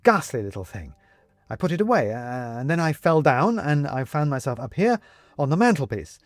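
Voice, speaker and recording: A man voicing a confused, agitated gentleman who keeps losing his memory, stammering and growing frantic. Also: on the high side, sing-song, normal speed, no echo, pitched above the performer's own voice. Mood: panicked. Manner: stammering, flustered, demanding. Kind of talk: audiobook character reading.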